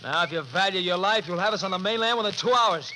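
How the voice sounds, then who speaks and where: An actor as a bullying, desperate young crook, noisy recording. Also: male voice, high voice, fast talker, natural voice. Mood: menacing. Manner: barking, menacing, insistent. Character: desperate, bullying young male murderer and thief. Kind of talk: 1940s radio drama acting. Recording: noisy old 1940s radio recording.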